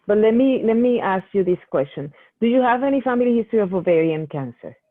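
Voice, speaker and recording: A woman's voice: mid-range voice, expressive, fast talker, no room sound. A woman medical geneticist answering patient questions in a Q&A session, explaining at length with many restarts. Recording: tinny phone-like call audio.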